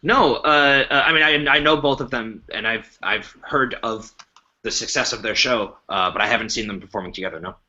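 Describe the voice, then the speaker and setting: Bright-voiced man, hesitant and casual. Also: medium pitch, a little fast. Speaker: podcast panellist, improviser. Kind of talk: casual podcast chat. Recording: dull lo-fi podcast recording.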